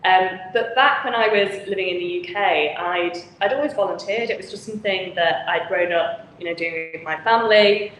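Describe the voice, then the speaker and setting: A woman's voice: on the low side, normal speed, few pauses, a bit bright. Chatty woman from Manchester on echoey call. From Manchester, England. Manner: frank, chatty, laughs often. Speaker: charity founder and guest. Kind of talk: conversational interview. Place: charity office with volunteer lockers. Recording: echoey room with faint noise.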